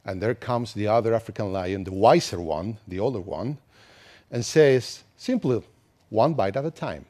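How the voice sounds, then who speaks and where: Argentine man giving a relaxed tech talk. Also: on the low side, normal speed. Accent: Argentine Spanish. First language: Spanish. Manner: relaxed, conversational, with many restarts. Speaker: conference speaker. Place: conference hall, indoors.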